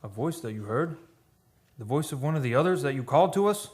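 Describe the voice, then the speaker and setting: A man playing a brooding, superstitious painter in a radio drama, with grave, poetic, deliberate phrasing. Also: mid-range voice, lively intonation, a little mellow, lightly acted. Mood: uneasy. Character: brooding, superstitious painter from an old seafaring people. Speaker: actor. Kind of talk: radio drama dialogue, lyrical.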